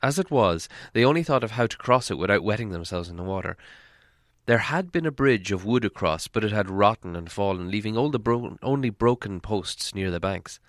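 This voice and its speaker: A man's voice: fairly low pitch, animated, fairly quick, fairly bright tone, no room sound, studio quality. A man reading a fantasy adventure story aloud as scripted audiobook narration. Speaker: audiobook reader.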